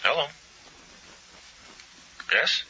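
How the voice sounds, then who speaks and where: An actor playing a businesslike, easygoing hospital colleague in a 1980s radio drama, matter-of-fact with hesitant pauses. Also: male voice, bright, forceful tone, exaggerated voice. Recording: dull, noisy 1980s radio recording.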